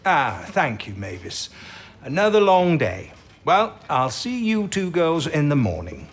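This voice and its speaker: An actor playing a gruff but kindly, absent-minded boss of wartime codebreakers in a period radio drama, muttering and donnish. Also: male voice, fairly high pitch, wildly swooping pitch, a little slow, full character voice. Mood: wry, kindly. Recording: noticeable background noise and clicks.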